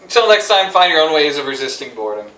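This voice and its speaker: A man hosts in loose, casual banter with a high, lively voice that swings around in pitch. He is picked up from a distance in a very echoey room. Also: fast talker, crowd noise. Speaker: show host.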